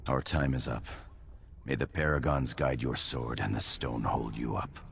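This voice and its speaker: An actor playing a loyal, sombre dwarf knight in a fantasy game, grave and formal, with a gravelly voice. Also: male voice, normal speed. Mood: solemn loyalty. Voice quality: gravelly, very deep. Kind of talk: fantasy video game acting. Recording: game dialogue over noisy ambience.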